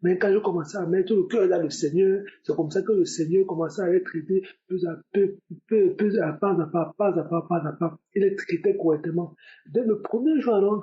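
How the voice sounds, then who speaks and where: A young man with a high, soft-toned voice tells his personal testimony, repeating words for emphasis as he goes. The sound is a bit dull and lo-fi. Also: normal speed. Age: young adult. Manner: repetitive, emphatic storytelling. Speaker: testimony giver. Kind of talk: spoken religious testimony.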